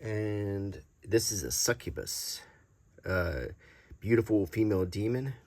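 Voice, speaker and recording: A middle-aged man giving a casual, unscripted show-and-tell in a halting, murmured way, like unintentional ASMR. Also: on the low side, lively intonation, darkish tone. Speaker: host presenting a vintage game card collection. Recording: noticeable background noise, small clicks.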